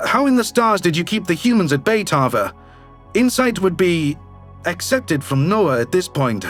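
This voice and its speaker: Alien governor, male actor: high register, shifty, formal, self-interested. Sci-fi audio drama. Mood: guarded, insistent. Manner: formal, measured, curt. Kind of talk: science fiction audio drama acting.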